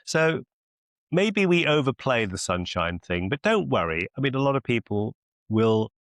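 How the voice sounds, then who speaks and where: A man's voice: medium pitch, big pitch swings, normal speed, dry recording, studio quality. A middle-aged man mentoring in a conversational, reassuring, explanatory way. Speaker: medical mentor.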